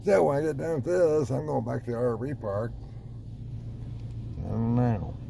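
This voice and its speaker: An older man giving unhurried, mumbling commentary from inside his car in a car wash, easily amused. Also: mid-range voice, vocal fry. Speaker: vlogger. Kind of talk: casual running commentary. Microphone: phone or camera on the dashboard. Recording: loud car wash noise behind.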